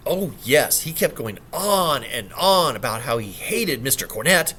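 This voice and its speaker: A man reading a story voices a young kitchen girl with a put-on English country bumpkin accent, crying out in a high, tearful, accusing voice. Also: very animated, measured pace, bright, forceful tone, slight background noise. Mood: eager.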